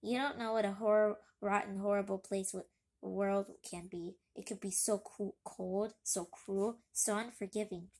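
A woman voicing a grumpy, bitter cartoon cat dad, a reformed villain who complains in an emphatic, drawn-out way. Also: mid-range voice, fairly even intonation, darkish tone, voice pushed down. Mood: gloomy.

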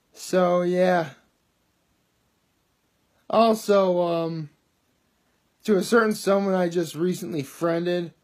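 A young man with a loud voice, chatting in an upbeat, rambling way for his daily video diary, filmed on a phone at arm's length in his living room. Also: fairly high pitch. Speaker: vlogger. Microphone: phone camera held at arm's length.

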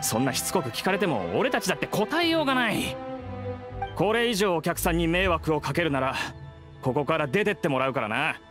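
An irritable young man running an event in an anime game. He talks bluntly and roughly, with lively pitch over clear background music. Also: fairly quick. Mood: annoyed. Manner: blunt, rough casual speech. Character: gruff, irritated young male event organizer.

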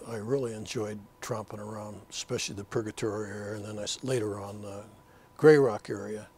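Older man reminiscing in halting speech. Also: fairly low pitch, darkish tone, breathy edge. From Colorado. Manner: rambling, halting, many fillers and restarts. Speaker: interviewee, landscape artist. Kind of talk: reflective interview talk. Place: art studio indoors.

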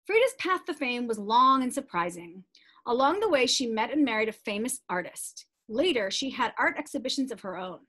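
A middle-aged woman with a somewhat high voice presents a read-aloud for young readers. She is expressive and deliberate with the text, and slips into chatty asides to explain it to the children.

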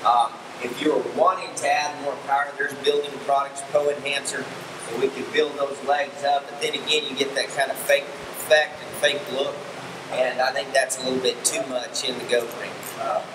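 A middle-aged man, a show judge explaining how he grooms a goat for show in a relaxed way, with frequent ums and restarts. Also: mid-range voice, normal speed. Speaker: livestock fitting instructor and show judge. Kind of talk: demonstration tutorial. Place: large indoor barn arena. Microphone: far camera mic. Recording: echoey barn from far off with air conditioning hum.

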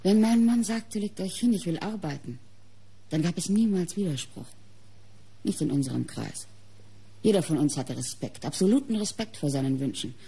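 A mocking, embittered wife in a radio mystery. Her voice is breathy, dark and on the low side. She speaks theatrically, with measured, cutting phrasing. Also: lively intonation, exaggerated voice. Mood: grave, defensive. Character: bitter, mocking wife of a painter. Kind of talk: German radio crime drama acting.

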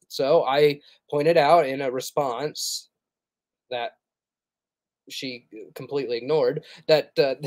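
A man in his thirties teaches over a livestream from a small room at home. He speaks earnestly in a middle-pitched voice, pausing with "uh" as he reads long quotes. The sound is dry and close, from a desk mic. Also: a little mellow, dry recording. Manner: earnest, explanatory, frequent "uh" fillers.

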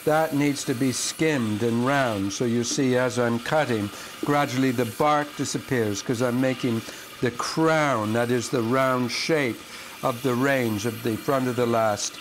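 An older man who carves shoe lasts, explaining his work calmly and step by step with frequent restarts while he cuts wood at a band saw in his workshop. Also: medium pitch, measured pace. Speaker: shoemaker and last carver. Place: workshop, indoors, next to a running band saw. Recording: clear power tool noise behind the voice.

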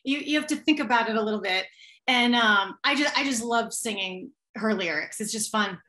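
A young woman singer with a slightly raspy voice, chatting as a guest on a video call from a room at home, gushing and full of fillers. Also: a little high, normal speed, bright, forceful tone. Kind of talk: casual chat, storytelling. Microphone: webcam on a video call.

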